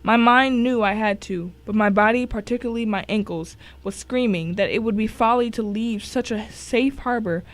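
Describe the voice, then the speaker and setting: A woman's voice: a little high, moderate pace, hardly any pauses. A woman reading a scripted first-person thriller as narrator, telling it with drama and suspense. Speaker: story narrator.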